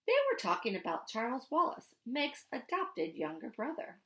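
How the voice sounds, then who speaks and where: A woman reading a children's book aloud like a teacher, with deliberate, emphatic phrasing. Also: on the high side, big pitch swings, fairly bright tone. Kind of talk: expressive storybook read-aloud.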